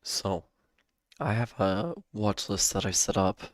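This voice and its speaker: A man hosting a live day-trading stream, hoarse and losing his voice, talking casually with a cough drop in his mouth and clearing his throat. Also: lowish voice, slow, no echo, studio quality. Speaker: livestream day-trading host.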